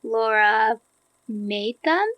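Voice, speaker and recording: A woman's voice: on the high side, drawn-out words, dry recording, lo-fi sound. A woman acting an earnest, careful, slightly anxious researcher in a naturalistic audio drama. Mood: curious.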